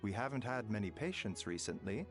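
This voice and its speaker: An actor playing a gentle, composed pharmacist doctor in a video game, speaking softly and politely. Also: male voice, fairly low pitch, lively intonation, brisk. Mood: courteous. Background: game music.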